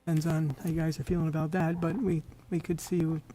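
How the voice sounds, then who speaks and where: Slightly hoarse American man, even and mellow. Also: a little high. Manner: informal, halting. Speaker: meeting chair. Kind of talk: presiding over a public board meeting. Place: town board meeting room.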